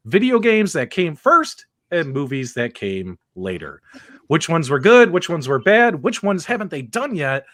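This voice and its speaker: Lively, upbeat male podcast host. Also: medium pitch. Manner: energetic, upbeat, hypes the audience. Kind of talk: live podcast hosting.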